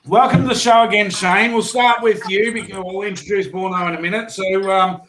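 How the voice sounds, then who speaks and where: A man with an Australian accent hosting a casual fishing show, his voice hoarse, talking in a rambling, chatty way with many fillers and restarts. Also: high voice, fairly even intonation, a little fast, fairly bright tone, slight background noise, rough recording. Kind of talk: casual live stream chat.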